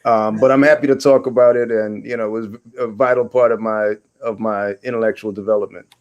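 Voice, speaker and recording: A man in his forties from Guyana, a historian answering interview questions in a halting way, with many fillers and restarts. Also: on the low side, fairly even intonation, lo-fi sound. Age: 40s. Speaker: guest historian.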